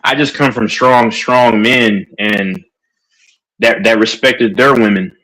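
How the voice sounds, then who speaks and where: A man on a livestream debate, talking in an animated, emphatic way with many restarts. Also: fairly low pitch, takes it slowly, rough recording. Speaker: streamer.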